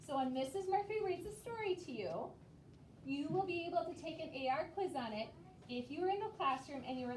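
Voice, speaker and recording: High-voiced woman teacher in an echoey classroom. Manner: simple, patient explaining with frequent check-in questions. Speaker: elementary school teacher. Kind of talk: classroom instruction to young children. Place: elementary school classroom, indoors. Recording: echoey noisy classroom, far from mic.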